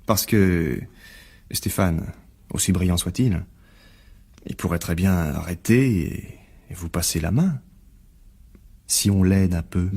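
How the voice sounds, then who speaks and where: An actor playing a smug, scheming blackmailer of a journalist in a radio mystery drama, insinuating and falsely polite. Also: male voice, low voice, slow, long pauses, a little mellow, husky, heavily acted. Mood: insinuating, menacing.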